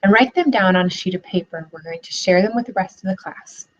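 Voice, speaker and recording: A woman's voice: mid-range voice, fast, highs cut off. A young woman student teacher giving a read-aloud lesson for children, asking encouraging questions, recorded on a laptop webcam in a living room.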